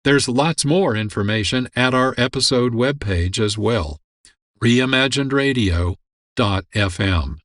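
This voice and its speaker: A man's voice: mid-range voice, expressive, fairly bright tone, dry recording. Man hosting radio, dry studio sound. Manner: measured, informative announcer delivery. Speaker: radio show host. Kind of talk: scripted radio outro narration.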